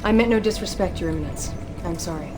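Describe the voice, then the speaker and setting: An actress playing a passionate, devout abbess of a militant cult in a game cutscene, speaking formally and reverently over music. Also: female voice, lowish voice, fast talker, lightly acted. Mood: reverent, earnest. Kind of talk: dramatic game cutscene acting.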